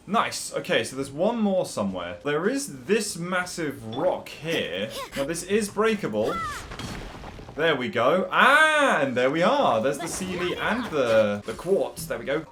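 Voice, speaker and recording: Young man, casual gameplay commentary, very varied intonation, slightly high pitch, playful, chatty, soft background music. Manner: chatty, playful, reacting aloud. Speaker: gaming video creator.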